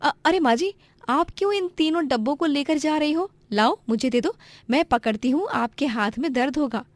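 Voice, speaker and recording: A young, sharp-tongued daughter-in-law played by a woman narrator from a cartoon moral tale. Her voice is fairly high and close, pleading and arguing with indignation. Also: lively intonation, normal speed, dry recording. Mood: polite, protesting. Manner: pleading, argumentative. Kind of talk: animated Hindi moral-story voice acting.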